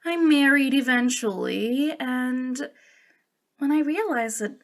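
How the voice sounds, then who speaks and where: A woman's voice: sits high, animated, takes it slowly, exaggerated voice. A woman playing a centuries-old, youthful-looking witch in an ASMR roleplay, confiding coyly and hesitantly with a giggly, sinister playfulness. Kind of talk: ASMR roleplay monologue addressed to the listener.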